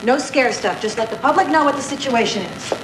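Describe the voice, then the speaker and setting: An actress playing a skeptical TV news director, a woman boss with a brisk, dry, firm manner. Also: high voice, animated, background noise.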